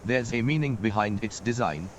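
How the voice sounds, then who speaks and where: A man playing a gruff, folksy old mentor. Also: on the low side, normal speed, a little hiss or hum, close to an everyday voice. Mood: encouraging. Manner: folksy, wry, encouraging. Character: older male mentor, gruff and encouraging. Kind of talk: game voice acting. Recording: studio voice with game music behind.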